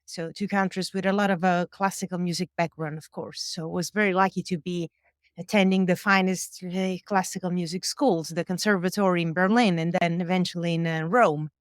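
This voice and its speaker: A woman's voice: on the low side, fairly even intonation, brisk, dry recording, clean studio sound. A woman guest who is a musician and sound engineer, talking casually in an interview with many fillers and small laughs. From Europe. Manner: chatty, many fillers, laughs between thoughts. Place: home recording studio on a boat.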